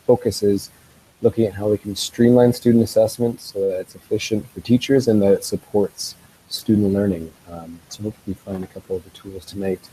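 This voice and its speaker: A man with a head cold, sounding congested, giving a relaxed screen-share tutorial for teachers with frequent ums. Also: lowish voice, slight background noise, rough recording. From Canada. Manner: relaxed, explanatory, with ums and uhs. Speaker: school tech trainer.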